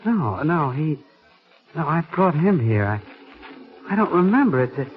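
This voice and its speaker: A man playing a despairing young fugitive, halting and weary, in a 1940s radio melodrama. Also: on the high side, sing-song. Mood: dazed, confused. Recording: dull vintage 1940s radio recording.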